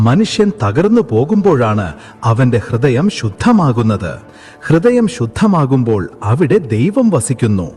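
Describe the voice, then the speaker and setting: A man voicing a serene divine teacher who gently consoles a grieving devotee in a slow, soothing devotional monologue. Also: mid-range voice, husky. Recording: long hall reverb with soft music.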